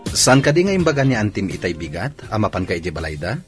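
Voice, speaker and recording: Animated, eager young companion. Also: male voice, on the low side, fast, husky, faint music behind, exaggerated voice. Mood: coaxing. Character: eager, persuasive young male companion of the niece. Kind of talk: radio drama acting.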